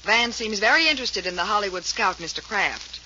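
A woman acting as a sharp young actress with a medium-pitched voice. She is assertive and guarded, in radio drama style, on a dull, noisy 1940s recording. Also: expressive, fairly quick, close to an everyday voice. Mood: cool, weary. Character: young female actress, self-assured, sharp and defensive.

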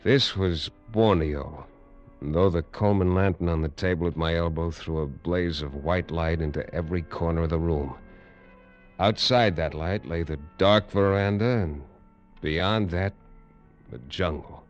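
An actor playing a tough American detective in an old radio drama, narrating in clipped, hard-boiled lines. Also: male voice, sits low, unhurried, soft background music. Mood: tense. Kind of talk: 1950s radio drama acting. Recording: dull old radio recording.

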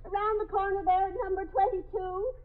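A woman's voice: very high voice, level tone, brisk. An actress playing an anxious, insistent woman who is locked in, calling out and explaining herself in 1950s radio drama style. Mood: earnest, polite. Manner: calling out loudly, chattering explanations. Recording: muffled phone-like 1950s radio recording.

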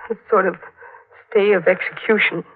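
An actress playing a pleading young newlywed wife, soothing and hopeful, in the style of 1940s radio drama. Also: female voice, mid-range voice, steady tone, normal speed, breathy edge, close to an everyday voice. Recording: narrow-band muffled old radio recording.

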